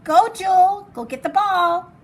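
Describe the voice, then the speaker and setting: A woman reading as an excitable, fancy little girl from a storybook, dramatic and showy with her favorite words. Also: very high-pitched, measured pace, voice pushed up. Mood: urgent, loud.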